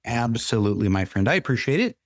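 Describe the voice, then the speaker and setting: A man's voice: mid-range voice, very animated, quick pace, no room sound, clean studio sound. A man hosting an interview podcast, courteous and welcoming as he introduces and questions his guest.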